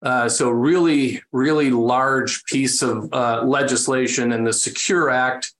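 A man's voice: mid-range voice, fairly even intonation, slow, fairly bright tone. A man, an estate planning attorney, talking on a webinar in a friendly, explanatory way. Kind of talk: casual webinar talk. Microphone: video call. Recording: echoey room over a video call, faint noise.

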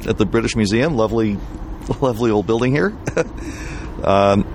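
A man hosting a podcast, asking halting questions full of restarts. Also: on the low side, animated, a little mellow, slight background noise. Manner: halting, many fillers and restarts. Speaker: podcast host. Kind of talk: interview questions.

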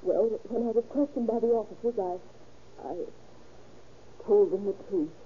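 A woman's voice: medium pitch, normal speed, close to an everyday voice. An actress playing an anxious, ashamed young woman, earnest and pleading, in an old-time radio melodrama. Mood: ashamed, distressed. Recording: dull, hissy old radio recording.

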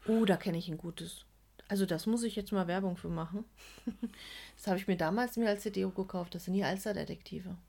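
Casting: woman, average pitch, relaxed co-host banter with blunt opinions. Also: fairly quick, gravelly. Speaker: podcast co-host. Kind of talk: casual chat about audio dramas.

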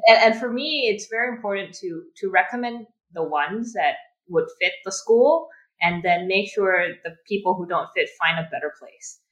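A woman's voice: medium pitch, normal speed, a bit bright, rough recording. A woman from Thailand speaking as a podcast guest, chatty and friendly, often correcting herself mid-sentence. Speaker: guest, project manager. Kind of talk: casual interview.

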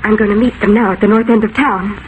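A woman's voice: mid-range voice, fairly even intonation, fast talker, phone-quality sound, natural voice. An actress playing an anxious, earnest young woman of the frozen North in a 1940s American radio drama. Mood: worried, earnest. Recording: noisy vintage 1940s radio recording.

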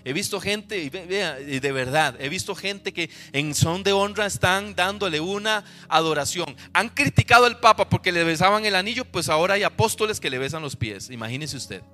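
Costa Rican pastor, male, bright and slightly high timbre, emphatic sermon delivery, keeps asking the congregation questions. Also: lively intonation, moderate pace, few pauses, soft background music. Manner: emphatic preaching with repeated rhetorical questions to the congregation.

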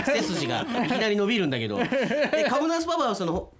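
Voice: mid-range voice, wildly swooping pitch, a little fast, breathy edge. A radio host leading a casual after-recording chat with guests, teasing them and often laughing while speaking. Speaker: host and director of a radio drama project. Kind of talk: casual post-recording talk with guests, interviewing.

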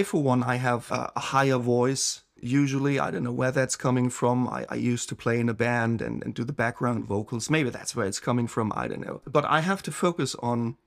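A middle-aged man giving sales advice as a coach, talking in an unhurried way and often repeating words as he thinks. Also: medium pitch, creaky. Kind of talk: instructional monologue.

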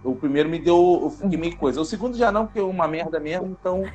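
Man, high voice, animated, emphatic, repeats himself, podcast co-host. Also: very fast, muffled recording, over music. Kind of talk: casual chat.

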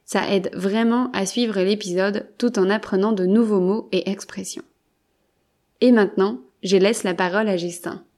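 A woman hosting a podcast, reading a clear, measured scripted introduction. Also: mid-range voice, fairly even intonation, darkish tone. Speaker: podcast host.

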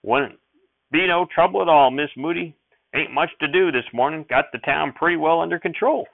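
A man voicing a loud, folksy, middle-aged small-town sheriff with a rustic drawl, heard over a tinny radio recording. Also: on the high side, moderate pace, gravelly, no echo, pitched above the performer's own voice. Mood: hearty. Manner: hollering, rustic drawl, hearty.

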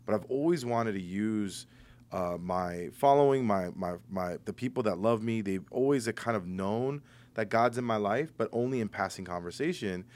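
A man's voice: on the low side, moderate pace, darkish tone. A man, a podcast guest, talking in a casual, enthusiastic way and often repeating his phrases. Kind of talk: casual chat.

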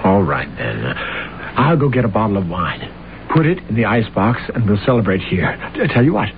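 A brash, self-deluded young husband. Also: male voice, lowish voice, sing-song, moderate pace. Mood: breezy. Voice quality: brash and blustering. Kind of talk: 1970s radio drama acting. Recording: tinny old radio broadcast with faint hiss.

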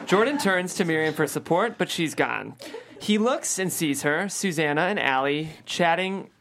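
Female stage directions reader for comedy table read: deep-set pitch, lively, varied narration. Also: normal speed, slight background noise.

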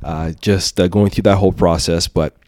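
A man in his thirties telling a casual story as a podcast guest, rambling with many fillers and trailing off quietly. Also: low voice, fairly even intonation, moderate pace, no echo, clean studio sound. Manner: rambling, lots of fillers, drops to a mutter.

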